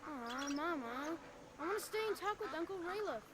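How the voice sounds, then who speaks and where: A woman playing an eager, boastful young village boy in a video game, with loud noise behind the voice. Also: high-pitched, lively intonation, normal speed. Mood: whining. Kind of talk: video game dialogue acting.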